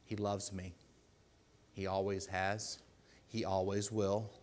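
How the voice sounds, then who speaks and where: A man with a deep voice preaching a sermon in a church, earnest and pleading, with loud emphatic bursts. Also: little pitch movement, takes it slowly. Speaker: lay preacher.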